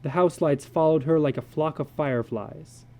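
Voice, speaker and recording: A man giving a measured, deliberate dramatic reading of a literary story as its narrator. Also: a little high, dark tone.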